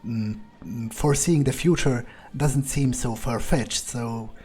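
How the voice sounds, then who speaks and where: Slow, mellow, sardonic male gamer. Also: medium pitch, husky, faint music behind. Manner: musing, hesitant, sardonic. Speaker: gamer role-playing his character. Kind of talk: in-character video game playthrough, thinking aloud. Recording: faint background game ambience.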